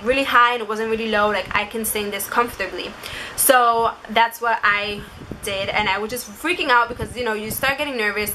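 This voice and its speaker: A woman's voice: mid-range voice, bright, forceful tone, slight background noise, rough recording. A young woman telling a story and giving advice in a casual, chatty vlog style, saying "like" constantly. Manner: chatty, informal, uses "like" constantly. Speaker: vlogger.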